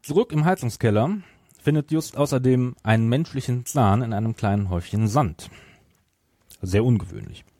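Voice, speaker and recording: A man's voice: medium pitch, moderate pace, dark tone, no room sound. A man hosting a podcast, retelling a story from notes and at times searching for words. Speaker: podcast host.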